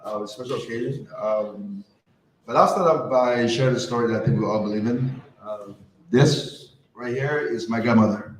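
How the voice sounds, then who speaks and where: A man's voice: medium pitch, moderate pace, a little hiss or hum. A man from France giving a conversational talk to a live audience, often asking the crowd questions. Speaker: conference speaker. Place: event hall. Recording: roomy hall with faint ambience.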